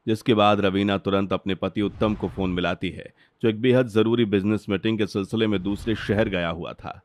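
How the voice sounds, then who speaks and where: Lowish-voiced male story narrator. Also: fairly even intonation, brisk. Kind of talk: dramatic scripted cartoon narration. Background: background music.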